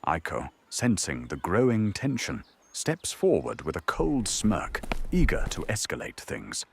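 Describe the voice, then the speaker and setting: A man with a gravelly, husky voice giving dramatic scripted narration for an audio drama. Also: low-pitched, wildly swooping pitch, a little slow, slight background noise.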